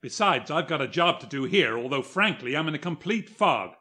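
An actor playing a blunt, exasperated American police inspector in a 1940s radio drama. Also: male voice, fairly high pitch, animated, fairly quick, pushed and bright. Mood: relieved, frank. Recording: vintage radio broadcast, music behind.

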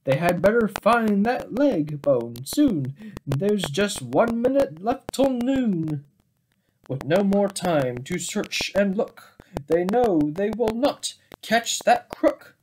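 A young adult man reading a children's story aloud in an expressive, unhurried way, recorded at home in a small room. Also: high-pitched. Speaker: storybook reader. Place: small indoor room with bookshelves. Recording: clearly noisy home webcam recording.

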